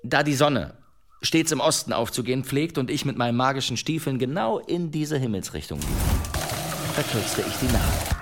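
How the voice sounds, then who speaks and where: A man in a German radio play acting a self-assured adventurer who tells tall tales in florid, measured storytelling. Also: mid-range voice, lively intonation, close to an everyday voice. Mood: wry, triumphant.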